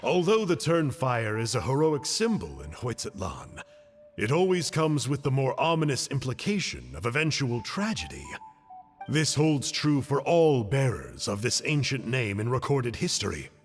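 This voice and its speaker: Expressive, bookish game character. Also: male voice, medium pitch, normal speed, husky, soft background music. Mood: solemn. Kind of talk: video game character acting, scripted reading.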